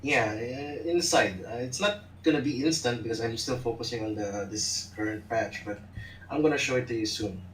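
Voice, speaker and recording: A man from the Philippines, an artist guest, answering in short, soft-spoken replies over a voice call. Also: mid-range voice, moderate pace, a little hiss or hum. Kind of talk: casual chat over voice call. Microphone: voice chat. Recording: distant, roomy voice chat audio.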